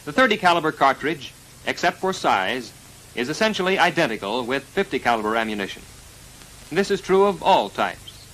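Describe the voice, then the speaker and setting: An American man narrating a 1940s military instructional film in a formal, precise, measured delivery, on a vintage film soundtrack. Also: fairly high pitch, big pitch swings, a bit bright, a little hiss or hum, poor audio. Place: voice-over recorded for a film.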